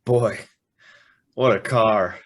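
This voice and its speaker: A man's voice: lowish voice, fairly even intonation, drawn-out words, fairly bright tone, heavily acted. An actor playing a naive young man in an old-time radio drama, full of wonder and hesitant questions. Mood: startled. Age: young adult. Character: naive, awestruck young male guest and narrator.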